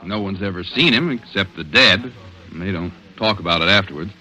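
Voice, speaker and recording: An actor playing an earnest, worried police detective in 1940s radio drama style, brooding and terse. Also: male voice, low-pitched, a little fast, sharp tone, heavily acted. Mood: grim, intense. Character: earnest, worried male police detective confiding in his sweetheart. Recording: dull vintage radio recording.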